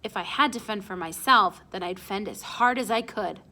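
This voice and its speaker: Woman playing an eager, bright-voiced schoolboy. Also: mid-range voice, normal speed. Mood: feigned casual. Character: schoolboy narrator, eager, nervously feigning casualness.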